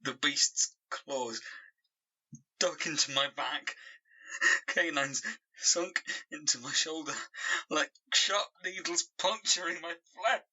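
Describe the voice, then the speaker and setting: An actor playing a traumatised man in an audio drama, recounting his ordeal in a halting, haunted monologue. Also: on the high side, bright, forceful tone, exaggerated voice, poor audio. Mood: dread, intense. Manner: slow, halting, haunted delivery with repeated words. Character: traumatised man reliving a savage dog attack.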